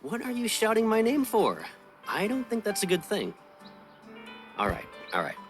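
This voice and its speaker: Lively, teasing young pilot. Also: male voice, high voice, moderate pace. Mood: playful teasing. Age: young adult. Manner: playful, teasing. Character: teasing, confident young male pilot, affectionate childhood friend. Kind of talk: video game voice acting. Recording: game audio with music behind. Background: music.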